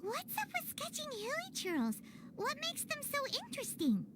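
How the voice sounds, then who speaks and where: Casting: tiny childlike fairy sidekick, extremely high and wildly animated, blunt, excitable, with noticeable background noise. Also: female voice, moderate pace, full character voice. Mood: curious. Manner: refers to self in third person, exclamatory. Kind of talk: cartoonish fantasy game dialogue.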